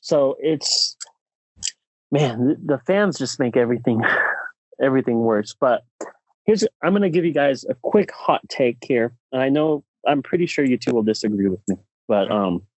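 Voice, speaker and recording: A man with a mid-pitched voice talks casually as a podcast co-host. He is opinionated and emphatic, and the sound is close and dry with little room in it. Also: animated, moderate pace, darkish tone, no room sound, poor audio. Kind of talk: casual film discussion.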